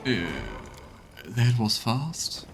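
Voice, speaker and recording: A man's voice: medium pitch, animated, extremely slow, music in the background. A man streaming a game with casual live commentary, muttering wry asides to himself as he plays.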